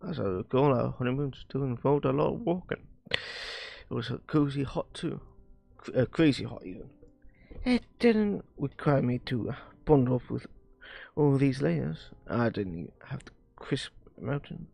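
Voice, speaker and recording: A man reading visual novel dialogue aloud on a live stream, haltingly, often stumbling over words and repeating phrases. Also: mid-range voice, expressive, gravelly, mellow.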